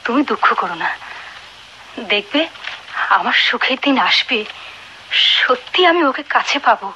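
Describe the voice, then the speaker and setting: An actress playing a devoted, neglected young wife in a radio drama, speaking emotionally and imploringly. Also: female voice, fairly high pitch, lively intonation, a little slow, bright, forceful tone, highs cut off. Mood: yearning, hopeful. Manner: emotional, imploring, repeats phrases. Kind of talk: Bengali radio drama acting. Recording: noisy old radio drama recording.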